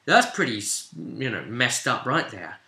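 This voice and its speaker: Bright, forceful British man in echoey room. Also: mid-range voice, sing-song, measured pace. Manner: informal storyteller, explanatory with frequent asides. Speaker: game master. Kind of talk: casual recap of a tabletop role-playing session.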